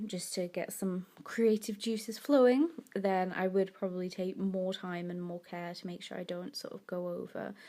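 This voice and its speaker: A woman's voice: lowish voice, a little mellow. Chatty woman with a cold. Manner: rambling, self-correcting, chatty. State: has a cold, a bit sniffly. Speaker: art vlogger. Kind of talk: casual chat over a drawing.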